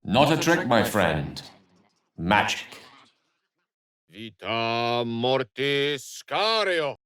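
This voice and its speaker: A man's voice: medium pitch, sing-song, extremely slow, fairly bright tone, no echo, clean studio sound, full character voice. A man voicing an eloquent, wry, learned wizard for a video game, speaking in an articulate, wordy, theatrical manner. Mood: incanting. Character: eloquent, learned adult male human wizard, wry and courteous. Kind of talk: video game character voice lines.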